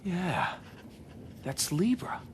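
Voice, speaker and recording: A man's voice: high voice, wildly swooping pitch, very slow, a little hiss or hum, heavily acted. A man acting as a curious, wry treasure hunter in a video game, with casual, dry banter. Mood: curious, teasing. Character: wry, curious adult male treasure hunter, younger brother. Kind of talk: naturalistic cinematic game dialogue. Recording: cinematic music behind dialogue.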